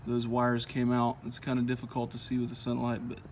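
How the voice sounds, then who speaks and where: A man's voice: medium pitch, a little fast, slight background noise. Easygoing man explaining a truck install. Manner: relaxed, lots of "uh" fillers, folksy. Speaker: truck owner showing an install. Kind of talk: casual how-to walkthrough. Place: outdoors beside a truck.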